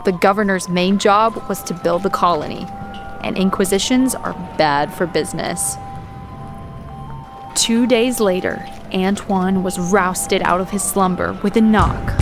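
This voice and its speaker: A woman playing a historian tour guide, narrating scripted history in a measured, dramatic storytelling style. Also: fairly low pitch. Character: female historian tour guide telling eerie city history.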